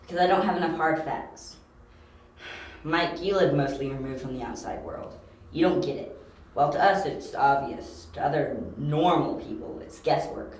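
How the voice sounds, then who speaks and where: A man playing a young, confident, analytical detective in an amateur audio drama, talking briskly and insistently. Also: fairly high pitch, lightly acted. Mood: assured. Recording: very echoey room.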